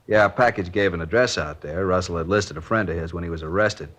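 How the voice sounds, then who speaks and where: An actor playing a methodical American detective sergeant in a 1950s radio crime drama, clipped and matter-of-fact. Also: male voice, low voice, fast, fairly bright tone. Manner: clipped, matter-of-fact, drops subjects from sentences. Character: plainspoken, methodical male detective sergeant from out of town. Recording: dull vintage radio recording.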